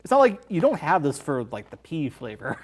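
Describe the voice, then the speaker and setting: A man's voice: a little high, huge pitch swings, moderate pace, slightly rough. A man as a podcast guest in a casual film discussion, explaining things informally.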